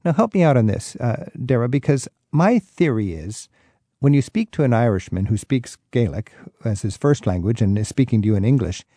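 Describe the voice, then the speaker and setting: A man's voice: mid-range voice, expressive, moderate pace, warm tone, dry recording, clean studio sound. A man hosting a radio travel show, reading a scripted intro and then asking a guest questions in a curious, chatty way.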